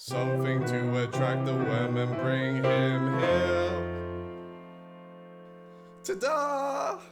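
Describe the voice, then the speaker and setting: Over loud guitar-led music, an eager puppet sheriff, voiced by a man, sings in a comic musical theatre style. The phrasing is unhurried, showy and earnest. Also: mid-range voice. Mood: proud, enthusiastic. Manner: earnest, showy, playful rhyming delivery. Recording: prominent guitar musical backing.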